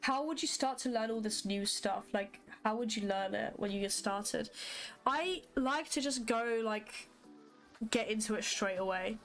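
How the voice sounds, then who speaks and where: A woman with a somewhat high voice talks casually to her viewers while she codes. English is not her first language, and she rambles with lots of fillers and thinks aloud. Soft music plays faintly behind her. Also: measured pace. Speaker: livestreamer coding a graphics engine. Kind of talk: casual live-coding chat with viewers.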